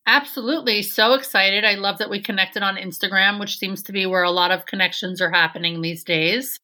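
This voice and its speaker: A woman's voice: medium pitch, fairly even intonation, a little fast, bright, forceful tone. A woman from New York hosting a podcast interview, upbeat and enthusiastic. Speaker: podcast host.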